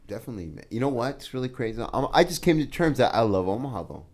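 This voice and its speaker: A man's voice: mid-range voice, big pitch swings, rapid-fire. A man guest on a podcast, telling stories in a laid-back way, swearing and laughing a lot. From Los Angeles. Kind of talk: casual storytelling.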